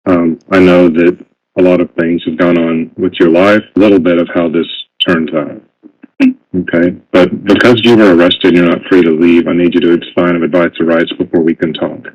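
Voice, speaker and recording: Deep, husky-voiced man agent, quick, professional. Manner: calm, professional, procedural. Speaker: FBI agent. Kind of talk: formal interrogation. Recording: muffled lo-fi interview recording.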